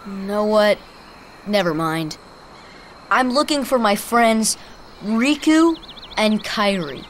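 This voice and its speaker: A man's voice: sits high, expressive, slow, fairly bright tone, slight background noise, exaggerated voice. An actor playing an earnest, friendly young teenage boy adventurer in a game, hesitant, with an American accent. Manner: hesitant, puzzled. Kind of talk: video game cutscene acting.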